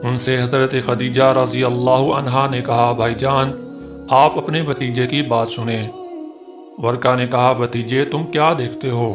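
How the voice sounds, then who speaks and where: A man narrates a scripted religious story in a medium-pitched voice. His delivery is measured, formal and reverent, in the manner of a devotional storyteller. Music plays clearly behind his words. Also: little pitch movement.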